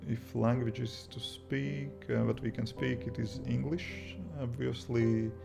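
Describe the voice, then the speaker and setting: A young Latvian man, a native Latvian speaker with a Latvian accent, chatting at home on a livestream, halting and restarting often. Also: mid-range voice, fairly even intonation, gravelly. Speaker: livestream host, iOS developer. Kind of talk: casual livestream chat reading viewer comments. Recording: guitar music playing behind the voice.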